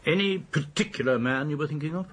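A man's voice: a little high, quick pace, fairly bright tone, a little hiss or hum, close to an everyday voice. An actor playing a courteous, methodical English police detective in a radio drama, polite and probing as he questions.

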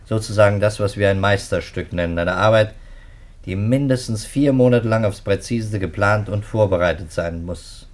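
A man acts out a police detective in a 1950s radio play. His voice sits fairly low. He is courteous and businesslike, setting things out in a brisk, matter-of-fact way. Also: close to an everyday voice.

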